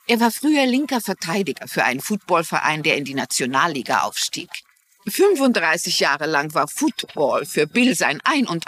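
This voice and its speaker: Genteel middle-aged lady detective, articulate and shrewd, lively intonation, some background noise. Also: on the low side. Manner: articulate, explanatory. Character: shrewd, genteel middle-aged woman mystery writer and amateur sleuth. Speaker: lead character and narrator. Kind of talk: German-dubbed TV mystery drama.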